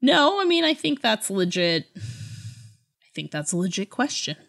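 A woman co-hosting a podcast, chatting casually about books in a thoughtful, hesitant way with trailing pauses. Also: medium pitch, sing-song, gravelly, fairly bright tone. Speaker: podcast co-host. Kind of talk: casual book discussion chat.

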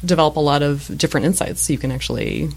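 Woman, 30s, low range, gravelly fry, thoughtful and chatty guest. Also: animated, fast talker. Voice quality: low-pitched voice. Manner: thoughtful, frequent fillers and restarts. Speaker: museum voice-over artist and guest. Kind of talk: casual interview answers.

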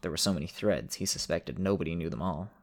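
Low, creaky male storyteller. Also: fast. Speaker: storyteller, show host. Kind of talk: narration and casual host talk.

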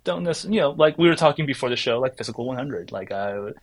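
A man from South Korea, a guest on a games podcast, who talks in loose, rambling sentences full of fillers and restarts. His voice sits at an ordinary middle pitch for a man. He speaks conversationally and never sounds scripted. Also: expressive, fast talker. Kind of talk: casual podcast interview.

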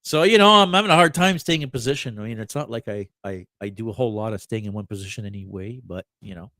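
A man's voice: fairly low pitch, fast talker, pushed and bright, no room sound. A man co-hosting a podcast with a stuffed-up nose, bantering in an easygoing, friendly way. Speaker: podcast co-host. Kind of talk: casual chat.

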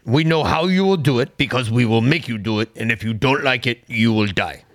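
A man's voice: medium pitch, a little fast, a bit bright, dry recording, studio quality, close to an everyday voice. A man mimicking a stern Russian official, menacing and commanding.

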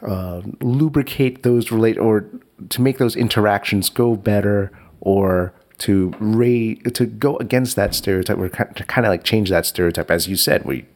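A man's voice: lowish voice, moderate pace, a little mellow. A man hosting a casual conversation, telling stories animatedly, often laughing and restarting his sentences. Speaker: podcast host.

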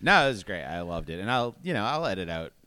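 A man hosting a podcast in casual chat, relaxed and rambling with fillers. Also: deep voice, quick pace, smooth, no room sound. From Boston area. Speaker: podcast host and comedy club owner.

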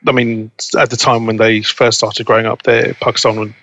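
A young man as an interview guest, chatting casually and relaxed, laughing easily. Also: medium pitch, normal speed, gravelly, lo-fi sound. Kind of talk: casual conversation.

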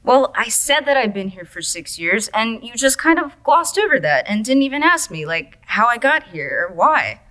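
A woman's voice: fairly high pitch, sing-song, moderate pace, hardly any pauses, pushed and bright, rough recording. A woman playing a laid-back but needy coma patient who greets newcomers, flippant and slangy, in an audio drama. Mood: miffed. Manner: flippant, slangy, easily offended.

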